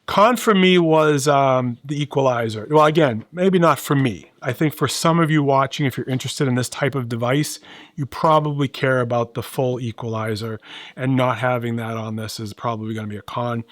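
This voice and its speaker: A man's voice: medium pitch, normal speed, fairly bright tone, no room sound. Middle-aged man, casual reviewer, dry close sound. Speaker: gadget reviewer and host. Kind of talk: casual conversational product review.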